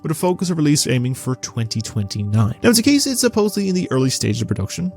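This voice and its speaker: A man's voice: on the high side, sing-song, fast talker, a little mellow, soft background music. A man hosting a weekly entertainment news show, giving opinionated commentary and speaking directly to his viewers.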